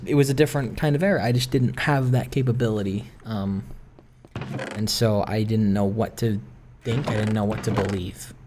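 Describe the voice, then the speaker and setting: A young man rambling casually and candidly in personal stories over gameplay, introspective, halting and questioning himself. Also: medium pitch, darkish tone, slight background noise. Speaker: gaming video maker.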